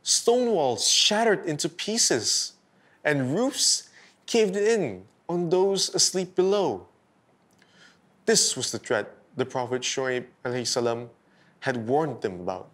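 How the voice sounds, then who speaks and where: Man, higher-pitched voice, children's bedtime story narrator, slow pace, expressive delivery. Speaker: storyteller host.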